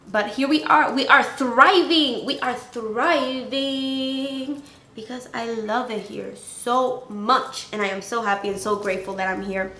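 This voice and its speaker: A twenty-year-old woman with a Latin American Spanish accent, a native Spanish speaker, chatting excitedly and slangily to camera in a casual beauty vlog. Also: on the high side, slight background noise. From Venezuela, grew up in the Dominican Republic. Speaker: beauty YouTuber. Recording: roomy echo.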